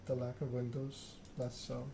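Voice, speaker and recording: A man softly narrating a story game in ASMR style, reading in a measured, deliberate way. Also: mid-range voice, monotone. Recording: clearly noisy background ambience.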